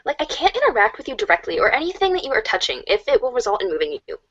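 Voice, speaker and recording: A woman's voice: fairly high pitch, wildly swooping pitch, rapid-fire, a bit bright, no echo, exaggerated voice. A woman playing a casual, frank teenage girl in an amateur dramatic reading, with slangy conversational delivery on a slightly dull recording. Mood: frank, exasperated. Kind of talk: amateur dramatic reading of webcomic chat dialogue.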